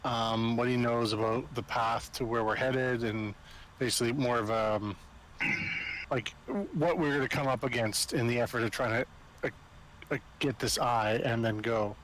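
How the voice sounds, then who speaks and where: A man with a medium-pitched voice talks casually as a player at a roleplaying game. Some noise sits behind his voice. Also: fairly even intonation, moderate pace, a bit bright, poor audio. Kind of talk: casual table talk. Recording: noticeable background noise.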